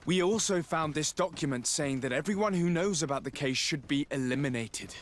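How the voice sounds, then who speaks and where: Guy voicing a calm formal diplomat, slightly high voice. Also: a little hiss or hum, lightly acted. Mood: urgent. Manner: measured, formal. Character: composed male diplomat, calm and authoritative. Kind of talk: video game voice acting.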